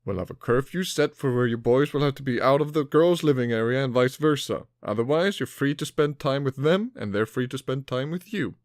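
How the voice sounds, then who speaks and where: A man playing a brisk, friendly master who guides new recruits in an audio drama, in an instructive, announcing manner. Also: medium pitch, animated, dry recording, clean studio sound, natural voice. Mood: matter-of-fact.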